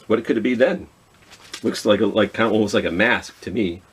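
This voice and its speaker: A man's voice: on the low side, brisk, breathy edge. A man hosting a children's storytime, reading aloud with chatty asides and questions to his listeners. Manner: chatty, self-talking, asks listeners questions. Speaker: children's library storytime host.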